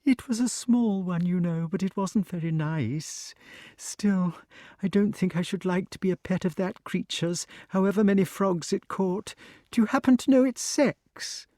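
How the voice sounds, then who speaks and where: A man voicing a timid, fussy male grass snake who talks in a fluttery, excited way. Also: high-pitched, normal speed, dry recording, studio quality, pitched above the performer's own voice, lightly acted. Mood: calming.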